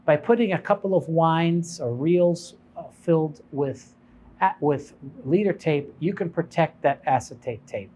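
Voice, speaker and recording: A man's voice: fairly high pitch, unhurried, warm tone. A man speaking as a shop owner, explaining and advising in an informal, unscripted, conversational way. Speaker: shop owner presenting product advice.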